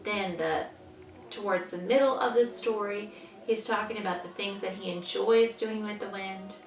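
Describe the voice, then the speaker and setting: A woman teaching young children, patiently explaining in simple words and pausing after questions, as in a story lesson. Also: medium pitch. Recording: echoey room, distant mic, faint noise.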